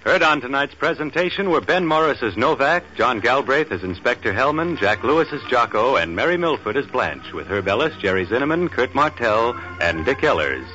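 A man's voice: medium pitch, very animated, brisk, hardly any pauses, sharp tone. A male network announcer reading scripted credits in a formal, declamatory 1940s radio style. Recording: dull old radio recording. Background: music.

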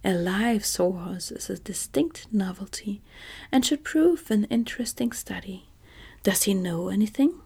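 A woman's voice: mid-range voice, lively intonation, unhurried, vocal fry, slightly rough, exaggerated voice. Woman whispering a dignified tin emperor. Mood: earnest. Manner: soft whispered delivery. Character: dignified tin man emperor, polite and earnest.

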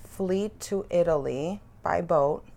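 A woman who grew up in Germany talks as a guest on a casual podcast. Her voice is low with a gravelly edge, and she tells her story in a relaxed, thoughtful way. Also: takes it slowly. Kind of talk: casual conversation.

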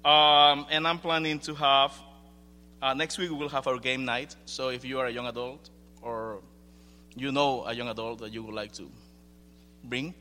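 A man's voice: medium pitch, fairly even intonation, normal speed, sharp tone. Hesitant Ecuadorian-accented man in echoing hall. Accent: Ecuadorian Spanish. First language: Spanish. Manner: hesitant, self-deprecating, informal. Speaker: young adults ministry leader. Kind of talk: informal ministry update to a congregation. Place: large room, speaking to a gathering. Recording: echoing hall with faint hum and noise.

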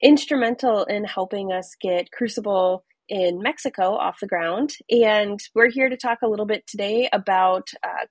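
A woman's voice: medium pitch, slightly rough, no echo. A woman hosting a podcast interview in a friendly, affirming way. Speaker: podcast host.

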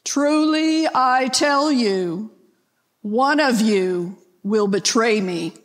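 Grave, solemn Christ figure played by a woman for a church Passion reading: unhurried, long reverb. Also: fairly high pitch, expressive. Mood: solemn foreboding. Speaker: scripture reader, church member.

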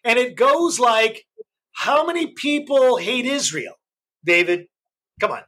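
A man's voice: very high-pitched, expressive, slow pace. A man hosting a live podcast in a casual, chatty way, saying he has a big voice. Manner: chatty, many fillers, loud self-described big voice. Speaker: host.

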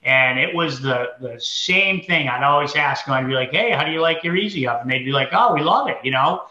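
Male interview guest, Massachusetts accent, very bright and tense tone, colloquial storyteller, heard through dull, echoey audio. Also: on the high side, lively intonation, a little fast. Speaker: entrepreneur guest. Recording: dull lo-fi, roomy echo.

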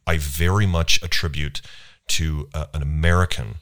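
Very deep-voiced man hosting an interview. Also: steady tone, fairly bright tone. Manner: enthusiastic, halting with many restarts and fillers. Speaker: podcast host. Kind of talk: casual interview. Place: convention venue.

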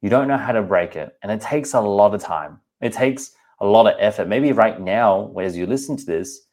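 Australian man, 30s, deep voice; chatty, candid podcast host talking straight to listeners. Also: animated, normal speed, darkish tone. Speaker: podcast host and personal trainer. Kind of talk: casual podcast monologue.

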